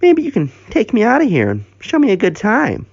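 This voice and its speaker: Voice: mid-range voice, wildly swooping pitch, a little fast, creaky, a little mellow, breathy edge, no echo, highs cut off, pitched above the performer's own voice, full character voice. A narrator voicing a flirtatious, sassy woman with a coy manner in a comic story. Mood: flirty.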